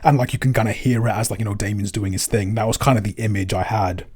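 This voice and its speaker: A man's voice: lowish voice, fast, a little mellow, breathy edge. A man chatting casually as a podcast creator answering questions, often stammering and repeating words as he works through his thoughts.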